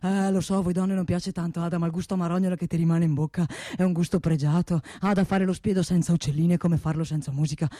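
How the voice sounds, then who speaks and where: An actor playing a boastful, coaxing middle-aged father and hunter, repeating phrases haltingly and flaring into irritation, in a theatrical radio comedy style. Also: medium pitch, steady tone, mellow, no room sound, studio quality, natural voice. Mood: relishing, coaxing. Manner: halting, repetitive, cajoling, bursts of irritation. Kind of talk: theatrical monologue-like stage comedy acting.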